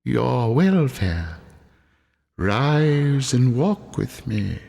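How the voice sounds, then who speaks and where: A man voicing a gentle, ageless spirit, part child and part old man, with an airy, breathy voice. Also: mid-range voice, very animated, extremely slow, long reverb tail, voice pushed up, heavily acted. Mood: calm command.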